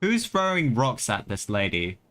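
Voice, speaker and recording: A woman's voice: extremely low pitch, very animated, unhurried, a bit bright. Slangy, exclamatory woman streaming a game. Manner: rambling, exclamatory, slangy, muttering reactions. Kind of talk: casual live gameplay commentary. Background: game music.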